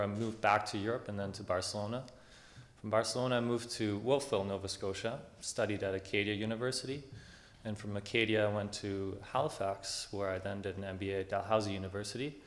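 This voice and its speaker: A man's voice: lowish voice, steady tone, fairly quick. A young man from Germany speaking at a public meeting, earnest and hesitant with many fillers.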